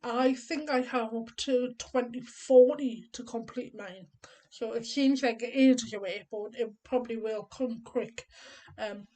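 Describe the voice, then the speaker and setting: A woman's voice: fairly high pitch, measured pace, warm tone, low-quality recording. A woman in her thirties who is deaf and whose speech sounds a bit different, explaining things step by step in a chatty, rambling way with frequent ums. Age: 30s. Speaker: vlogger. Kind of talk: casual explanatory vlog.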